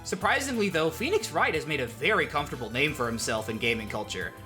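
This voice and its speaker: Expressive, bright-voiced man doing video commentary. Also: fairly high pitch, fast talker, soft background music. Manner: joking, self-interrupting, sweary. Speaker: video essay host. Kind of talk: casual scripted video essay commentary.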